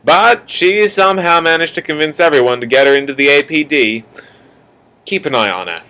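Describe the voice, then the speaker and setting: A man's voice: a little high, lively intonation, sharp tone, clear, resonant tone. Man playing a theatrical, earnest radio-play announcer. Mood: wry, confiding. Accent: Australian. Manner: theatrical, emphatic, with drawn-out key words. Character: earnest male announcer introducing a city police force. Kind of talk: dramatic scripted narration.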